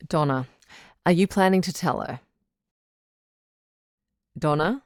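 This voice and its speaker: A woman playing a composed, frank relationship doctor who hosts a radio call-in drama in a measured, counselling way. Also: deep voice, dry recording, clean studio sound. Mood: firm, caring. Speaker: radio advice show host.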